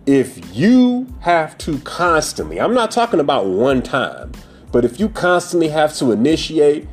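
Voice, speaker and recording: Husky, blunt-talking man ranting about dating. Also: a little high, wildly swooping pitch, a little slow, soft background music. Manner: blunt, profane, preachy storytelling. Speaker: podcast host. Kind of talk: casual monologue on dating.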